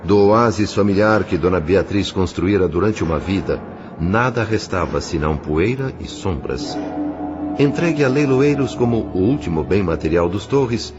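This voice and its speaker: A man with a Brazilian accent playing a solemn spirit narrator in an audio drama, with formal, literary diction. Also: low voice. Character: solemn male spirit narrator recounting a case. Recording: slightly muffled, music underneath. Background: background music.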